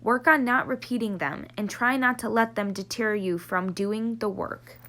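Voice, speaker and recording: A young woman reading a nonfiction book aloud in an earnest, steady voice, then explaining a class activity in a bubbly way. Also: fairly high pitch, moderate pace, a little hiss or hum. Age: young adult. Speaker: student reader.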